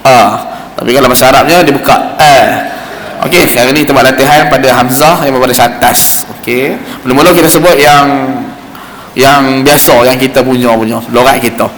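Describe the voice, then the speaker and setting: A man with a Malaysian Malay accent teaching Quran recitation in an informal, explanatory way, slowly demonstrating sounds as he goes. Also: mid-range voice, fairly even intonation, fairly bright tone. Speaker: religious teacher giving Quran recitation class. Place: mosque hall. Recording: roomy echoing hall, faint background noise.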